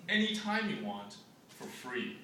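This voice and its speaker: Man reading as excitable cocky kid, mocking, higher pitch, echoey distant recording. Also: unhurried, full character voice. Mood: brash. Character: brash schoolboy friend bragging about video games. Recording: echoey room, distant camera mic, noisy.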